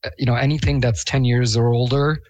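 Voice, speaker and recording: A man's voice: medium pitch, fairly even intonation, brisk, darkish tone, dry recording. A man from Bosnia and Herzegovina, a guest on an interview, talking casually and enthusiastically with lots of fillers. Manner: enthusiastic, chatty, laughs often, many fillers. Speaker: guest, Eurovision fan.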